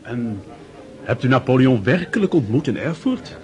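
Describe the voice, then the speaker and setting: An actor as an excitable, gossipy society guest. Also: male voice, medium pitch, moderate pace, dull audio, close to an everyday voice. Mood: curious, eager. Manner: inquisitive. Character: eager, gossiping high-society party guest. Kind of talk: radio drama acting. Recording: busy party crowd behind.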